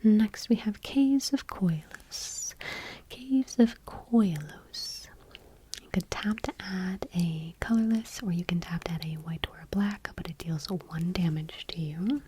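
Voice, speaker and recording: A woman ASMR host softly reading in a hushed, breathy whisper, gentle and unhurried, item by item. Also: fairly low pitch, noisy background. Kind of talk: soft-spoken ASMR, whispered card reading.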